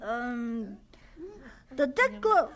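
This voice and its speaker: A woman playing a polite, shy young boy with a halting, earnest way of talking, in a radio drama. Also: on the high side, big pitch swings, fairly bright tone, full character voice. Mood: hesitant, curious. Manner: hesitant, halting, stammering.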